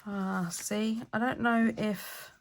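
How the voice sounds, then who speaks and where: A woman's voice: mid-range voice, steady tone, slow. A woman hosting a craft vlog, chatting and thinking aloud as she works, a bit stuffy with a cold. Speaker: craft channel host. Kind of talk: casual crafting vlog talk.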